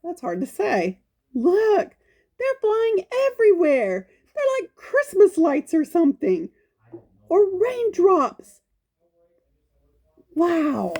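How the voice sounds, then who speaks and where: A woman's voice: very high voice, huge pitch swings, slow, long pauses, mellow. A woman reading a picture book aloud to young children, adding folksy exclamations and coaxing little questions as she goes. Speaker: children's storytime reader.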